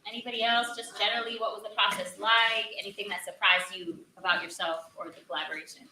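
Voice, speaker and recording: A woman's voice: mid-range voice, steady tone, fast, bright, forceful tone, echoey room, recorded from a distance, slight background noise, poor audio. A woman moderating a live panel on a theater stage, upbeat and chatty.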